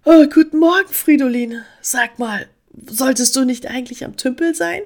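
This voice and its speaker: Woman as a high-voiced, expressive storybook squirrel. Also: moderate pace. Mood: sleepy surprise. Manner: expressive, exclaiming. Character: female squirrel roused from hibernation, startled and earnest.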